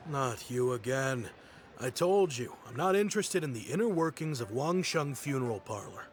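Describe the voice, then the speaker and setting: A man's voice: mid-range voice, animated, moderate pace, very noisy, natural voice. A man playing a reflective kindly merchant. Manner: friendly, reflective, softly rueful. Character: nostalgic grown-up male merchant, proud but kindly. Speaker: businessman. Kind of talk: video game voice acting. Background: game music.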